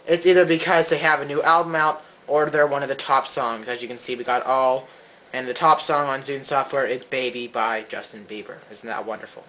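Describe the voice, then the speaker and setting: Bright-voiced man reviewing gadgets casually. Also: medium pitch, steady tone, a little fast, rough recording. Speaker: co-host, tech reviewer.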